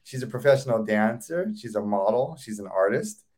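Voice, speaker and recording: A man's voice: lowish voice, lively intonation, normal speed, darkish tone. Eager man hosting an interview. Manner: enthusiastic, repeats and restarts words. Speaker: host. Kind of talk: casual interview.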